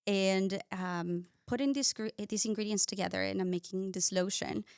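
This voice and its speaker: A woman's voice: mid-range voice, fairly even intonation, normal speed, clear, resonant tone, dry recording. Ecuador-raised woman telling her story. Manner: warm storytelling with frequent "uh" and small grammar slips. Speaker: guest, cosmetic scientist. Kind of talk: casual interview talk.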